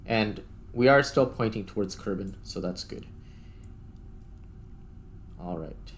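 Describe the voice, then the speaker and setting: A man's voice: on the low side, animated, slow pace, a little hiss or hum. A man giving casual gameplay commentary, thinking aloud in a tentative, self-questioning way as he narrates each step. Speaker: gaming video host.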